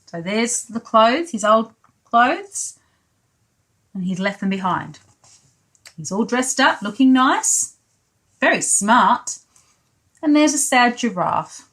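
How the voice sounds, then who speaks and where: A woman reads a picture book for pre-school children. Her voice is high and her pace very slow. She adds simple, child-directed comments as she goes. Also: animated, fairly bright tone. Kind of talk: children's picture-book read-aloud with asides.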